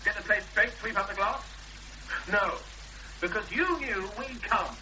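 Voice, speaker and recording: A hot-headed fiancé in a 1970s radio drama. His voice is high and piercingly bright, with clipped, accusing delivery. A faint hum of old broadcast noise sits behind it. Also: unhurried, heavily acted, poor audio. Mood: scornful accusing. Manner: clipped, accusing, pressing with blunt questions. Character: forceful, angry young fiancé confronting a victim, impatient and accusing.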